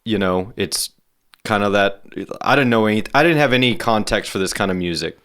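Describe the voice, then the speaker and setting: Low-voiced man hosting, loose and halting. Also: fairly bright tone. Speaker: podcast host. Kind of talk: casual interview chat.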